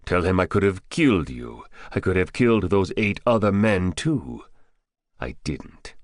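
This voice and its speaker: A man voicing a cold, menacing gunman posing as a drug buyer in an audiobook, curt, threatening and deliberate. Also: low voice, sing-song, dry recording, studio quality. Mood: firm, controlled. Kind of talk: audiobook character dialogue.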